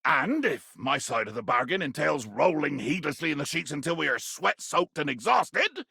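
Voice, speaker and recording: An actor playing a small, hot-tempered, pompous pirate in a video game, with a gravelly, raspy voice and florid, theatrical, old-fashioned phrasing. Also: male voice, on the high side, sing-song, normal speed, pushed and bright, no echo, studio quality, exaggerated voice. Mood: grandly gallant. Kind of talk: video game character acting.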